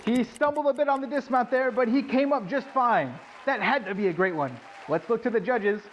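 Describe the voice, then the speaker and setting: A man playing an excited rodeo announcer in a radio play, calling the action loudly over a noisy crowd. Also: very high voice, brisk, muffled recording. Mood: excited, triumphant. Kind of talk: loud sports commentary in a radio play. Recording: noisy arena with crowd behind.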